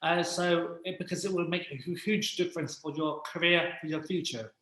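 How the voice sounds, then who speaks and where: Man, interview guest, halting speech, frequent restarts, fairly high pitch, echoey recording. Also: steady tone, a bit bright. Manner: halting, many restarts and fillers. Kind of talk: casual interview talk.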